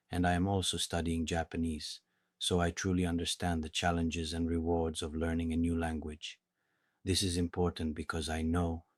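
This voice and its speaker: A man with an Italian accent teaching a beginner language lesson in a calm, measured, patient way, speaking slowly and clearly. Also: sits low, no echo, clean studio sound. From Italy.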